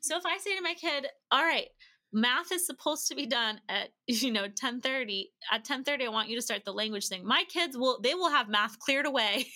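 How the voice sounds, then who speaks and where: A woman's voice: sits high, animated, a little fast, sharp tone. A woman speaking as a podcast guest, giving chatty, practical advice with everyday examples and acted-out bits of dialogue. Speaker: podcast guest, language-learning educator. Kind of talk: casual conversational advice.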